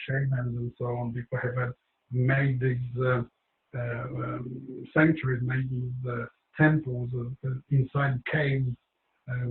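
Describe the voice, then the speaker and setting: A man giving an informal talk about his book, hoarse and losing his voice, rambling with many fillers and restarts. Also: mid-range voice, reverberant, phone-quality sound, slight background noise.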